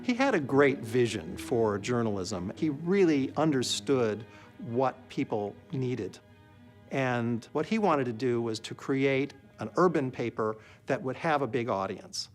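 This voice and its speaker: A man with a medium-pitched voice. He explains in documentary interview style, with faint music behind. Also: expressive, measured pace. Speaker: interviewed commentator on journalism.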